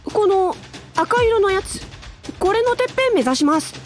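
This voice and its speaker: Woman voicing an eager, high-pitched young guy. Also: animated, normal speed, soft background music. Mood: eager, determined. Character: eager, determined young male climber, junior to his senior. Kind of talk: voice drama trailer acting.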